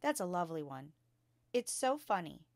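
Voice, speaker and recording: A woman reading a storybook as a bright, earnest five-year-old schoolgirl, polite, precise and modest. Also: fairly low pitch, big pitch swings, normal speed, dry recording.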